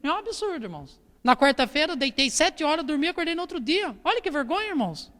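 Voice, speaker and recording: A man's voice: very high voice, big pitch swings, fast talker, a bit bright. A man with a Brazilian Portuguese accent preaching a church sermon, exhorting with repetition and rhetorical questions. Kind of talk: church sermon with Bible reading. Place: church hall during a service. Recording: long hall reverb.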